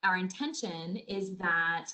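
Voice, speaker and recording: A woman's voice: on the low side, slow, pushed and bright, slight background noise. A woman presenting a webinar, with small stumbles and self-corrections. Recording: echoey room.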